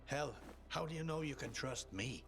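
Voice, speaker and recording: A man's voice: mid-range voice, expressive, normal speed, a bit bright, slight background noise. An actor playing a blunt, world-weary middle-aged ex-soldier who mentors a younger man in a video game. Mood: wary. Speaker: veteran survivor mentor. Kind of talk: video game cutscene acting.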